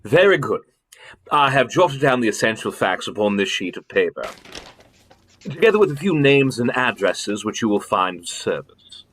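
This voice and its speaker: An actor playing a pompous, imperious elder-brother government official in a radio drama, with emphatic theatrical delivery. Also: male voice, mid-range voice, big pitch swings, normal speed, fairly bright tone, husky. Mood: brisk, assured.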